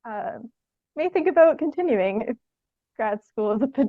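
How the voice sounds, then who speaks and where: A young woman speaks in a fairly high voice, feeling her way through interview answers. She is thoughtful and hesitant, peppering sentences with "like". The recording is very dry and slightly phone-like, with a thin band. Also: lively intonation, moderate pace, no room sound. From Canada. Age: young adult. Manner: hesitant, thoughtful, frequent "like" as filler. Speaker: podcast guest, theatre artist.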